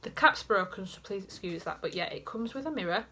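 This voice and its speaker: A woman's voice: mid-range voice, lively intonation, a little fast, bright, forceful tone, breathy edge. A young woman with a Yorkshire accent, chatting casually to camera in her bedroom, rambling with self-mocking asides. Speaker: beauty vlogger. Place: small bedroom indoors. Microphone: camera in front at desk height.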